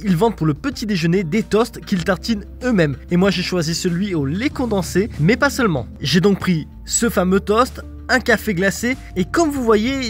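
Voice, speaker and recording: A man in his thirties vlogging his travels, chatting playfully to viewers from the street and cafés with the camera at arm's length. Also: high-pitched. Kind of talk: travel vlog narration.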